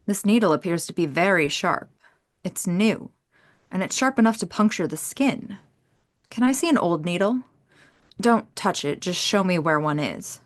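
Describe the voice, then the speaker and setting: A woman's voice: lowish voice, expressive, normal speed, close to an everyday voice. A woman playing a serious, methodical investigator in an audio theater mystery, with a clinical, exact manner. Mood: clinical, intent. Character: methodical, serious female investigator with forensic skills.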